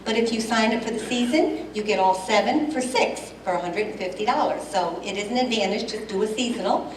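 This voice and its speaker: A woman's voice: mid-range voice, fairly quick, distant mic, slight background noise. Chatty woman explaining rules in an echoey hall. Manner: chatty, explains rules, loud without a microphone. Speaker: event committee head. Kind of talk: announcement at a club meeting. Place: meeting hall.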